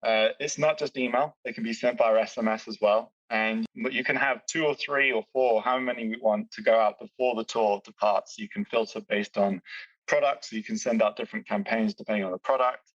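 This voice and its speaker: British man explaining software, distant and dull. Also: fairly low pitch, fairly even intonation, a little fast, no room sound. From Britain. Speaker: software company executive. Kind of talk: explaining product features in a podcast chat. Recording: distant dull-sounding mic, dry.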